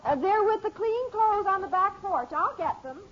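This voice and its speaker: An actress playing a calm, gently wry middle-aged mother in a 1950s radio sitcom, soothing and dryly tactful. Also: very high voice, moderate pace. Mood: obliging. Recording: muffled, hissy old radio recording.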